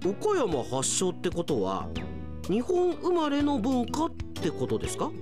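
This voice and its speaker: A man's voice: very high voice, huge pitch swings, measured pace, music in the background, pitched above the performer's own voice, exaggerated voice. A man acting in a radio drama as a proud, naive, hot-headed alien investigator, speaking in an earnest, melodramatic way. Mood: puzzled.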